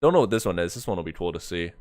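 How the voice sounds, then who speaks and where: A man doing casual live reaction commentary as a streamer, excitable, joking and prone to swearing. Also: deep voice, racing, no room sound, clean studio sound. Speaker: streamer reacting to a fan motion comic.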